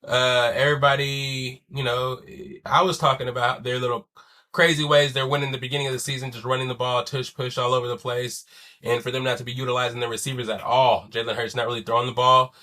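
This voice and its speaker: A man's voice: mid-range voice, little pitch movement, fast, bright, forceful tone. A young man hosting a casual solo sports podcast, loose and slangy, sounding wheezy and stuffy from a chest cold. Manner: loose and conversational, swears, slangy asides. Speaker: podcast host.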